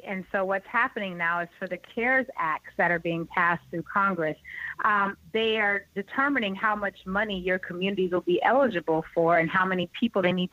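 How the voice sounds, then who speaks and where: A woman's voice: medium pitch, dry recording, lo-fi sound. A young adult woman, a guest in an interview, explaining with earnest emphasis and easy laughter.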